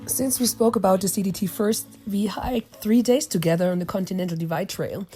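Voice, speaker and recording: Hoarse woman podcast host, German native speaker, mellow tone, chatty and quick to laugh. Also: medium pitch, faint music behind. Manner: chatty, laughs often, asks questions. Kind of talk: casual interview on the trail. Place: lodging in a small mountain town.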